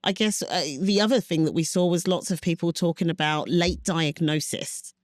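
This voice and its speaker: A woman's voice: lowish voice, normal speed, no echo, studio quality. A woman hosting an interview podcast, asking questions in an encouraging, conversational way. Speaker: podcast host.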